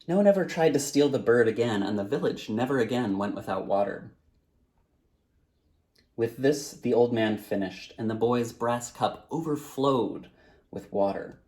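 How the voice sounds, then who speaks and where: A man reading a storybook aloud as its author, in a dramatic, measured narrating style. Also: medium pitch, a little mellow.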